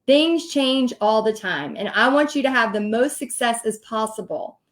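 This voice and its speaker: A woman's voice: fairly high pitch, expressive, normal speed. A middle-aged woman with a Texan accent, talking straight to her viewers in a chatty coaching livestream, laughing often. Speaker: host teaching paint party business. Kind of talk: casual livestream coaching talk.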